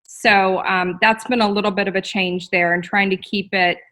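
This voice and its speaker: Chatty woman in her forties, bright and forceful, interview guest on a video call. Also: mid-range voice, fairly even intonation, moderate pace. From Texas. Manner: chatty and laughing, with filler ums. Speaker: guest, store owner. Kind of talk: casual interview.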